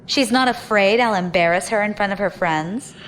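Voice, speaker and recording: A woman's voice: medium pitch, normal speed, fairly bright tone, a little hiss or hum, natural voice, poor audio. A woman playing a defiant, ambitious young adventurer in an audio drama, wry and guarded in manner. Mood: wary.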